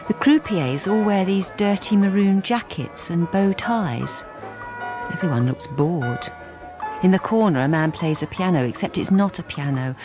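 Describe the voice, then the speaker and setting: Nervous timid wife, radio drama, halting restarts, expressive swoops in pitch, intimate confiding delivery. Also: female voice, music in the background. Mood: quiet, confiding. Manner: hesitant, stammering restarts. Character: anxious, timid wife who has turned invisible. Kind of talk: radio drama dialogue and intimate first-person narration.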